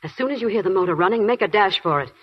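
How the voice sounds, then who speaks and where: An actress playing a steady head army nurse with a husky voice, taking charge in a 1940s radio drama. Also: female voice, medium pitch, very fast. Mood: firm. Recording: dull lo-fi 1940s radio broadcast.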